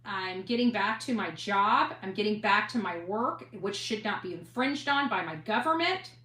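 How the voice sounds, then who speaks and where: Female online reporter-host, forceful bright tone, emphatic and defiant delivery, echoey room. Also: mid-range voice, lively intonation, normal speed. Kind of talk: impassioned monologue to online audience.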